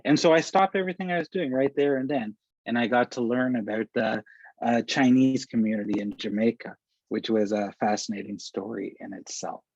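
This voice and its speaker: A man's voice: mid-range voice, fairly even intonation, brisk, no echo. A man giving a webinar presentation, talking conversationally and checking in with "okay" and "right?". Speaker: workshop presenter. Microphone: video call. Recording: dull lo-fi video call audio.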